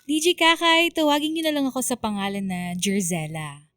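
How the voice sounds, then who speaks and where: A woman's voice: fairly high pitch, sing-song, moderate pace, a bit bright, smooth, no echo, studio quality, faint music behind. A woman with a Filipino accent narrating a scripted radio story in an earnest, sentimental way.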